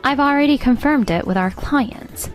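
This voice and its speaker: A woman's voice: high-pitched, normal speed, natural voice. A woman playing a stern, commanding company boss in an anime-style dub, with a pointed, authoritative manner. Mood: coolly confrontational. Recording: music bed behind the voice.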